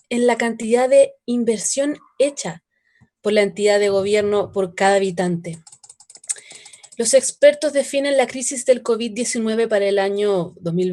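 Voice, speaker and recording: A woman's voice: mid-range voice, darkish tone, close to an everyday voice. A woman playing an earnest radio news reporter who reads a scripted report. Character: earnest female radio news reporter looking back on 2020.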